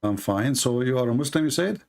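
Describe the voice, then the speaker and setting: A gravelly man hosts a call-in show. He rambles on, filling the gaps with lots of uh and um. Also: mid-range voice, animated, a little fast, darkish tone. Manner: rambling, with many fillers and restarts. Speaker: host of a call-in debate show. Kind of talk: casual call-in talk.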